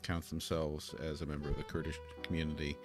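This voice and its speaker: A man from the United States hosting a live stream, reading viewer questions in a casual, halting way with many ums and restarts. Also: rumbling low, level tone. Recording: guitar music audible under voice.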